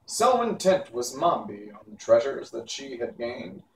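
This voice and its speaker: A man's voice: medium pitch, big pitch swings, measured pace, vocal fry. A man reading a children's novel aloud as narrator, in an unpolished amateur way. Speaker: storybook reader. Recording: echoey room, distant mic, faint hiss.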